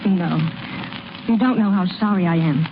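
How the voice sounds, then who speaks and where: An actress playing a gentle, reserved young woman who owns a shop, earnest and hesitant, in old-time radio style. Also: on the low side, normal speed, lightly acted. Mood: regretful, uneasy. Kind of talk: 1930s-40s American radio acting. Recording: muffled, noisy old radio broadcast.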